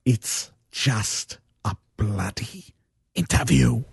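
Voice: mid-range voice, expressive, very slow, creaky, slightly rough, no room sound, exaggerated voice. A performer acting a jaded, impatient villainous interviewer with a curt, dry, formal manner in an amateur audio drama. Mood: curt, irritated.

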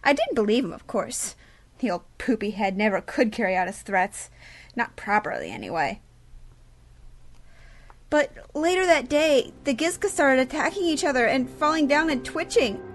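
A woman's voice: high-pitched, big pitch swings, normal speed, heavily acted. A woman acting a naive, chatty teenage girl who gushes in childish slang, in an amateur audio drama. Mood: petulant, then earnest, naive.

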